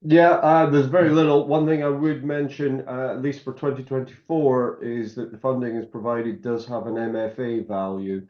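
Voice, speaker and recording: A man on a video call sharing his experience as a former programme participant, measured and a little halting. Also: medium pitch, flat delivery. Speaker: programme alumnus. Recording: roomy dull call audio.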